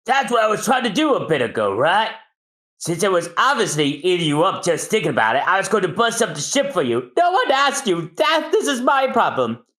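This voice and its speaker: A man voicing a brash, hot-headed male shipwright gang boss in a loud, high, shouting voice. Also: very animated, a little fast, roomy sound. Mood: angry.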